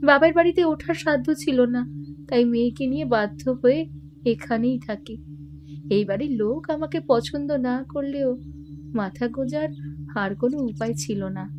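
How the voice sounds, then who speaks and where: Woman acting a plaintive, high-voiced widowed mother. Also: moderate pace, background noise, natural voice. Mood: sorrowful, resigned. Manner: plaintive, subdued, hesitant. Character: grieving impoverished young widowed mother, meek and resigned. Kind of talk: dramatised audio detective story.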